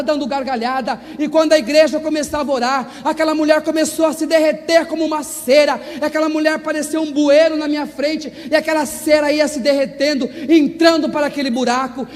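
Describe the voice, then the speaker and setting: A Brazilian man preaching an evangelical sermon and testimony in a fervent, emphatic, repetitive declamatory style. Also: very high voice. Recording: reverberant large hall.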